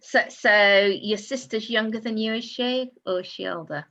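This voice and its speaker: A woman's voice: a little high, moderate pace, a bit bright. A woman hosting a casual interview, asking short personal questions, with slightly lo-fi sound. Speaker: interview host.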